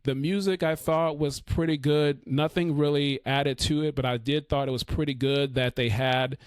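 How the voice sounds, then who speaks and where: A man's voice: on the high side, normal speed, clear, resonant tone, dry recording, studio quality. Man hosting a rambling podcast review. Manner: relaxed, rambling with many fillers and restarts. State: losing his voice. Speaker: podcast host. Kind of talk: casual solo film review.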